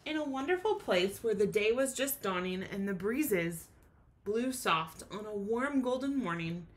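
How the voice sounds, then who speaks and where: A woman's voice: medium pitch, animated, unhurried. A woman teacher giving a children's read-aloud lesson, speaking expressively and explaining things in simple terms.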